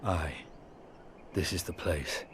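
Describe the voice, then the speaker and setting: Deep, solemn warrior. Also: male voice, slow pace, slight background noise. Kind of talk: dramatic video game cutscene acting.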